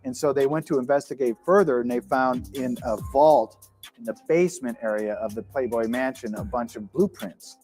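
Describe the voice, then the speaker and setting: A man's voice: mid-range voice, moderate pace, darkish tone. Chatty male storyteller over faint music. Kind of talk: anecdotal storytelling.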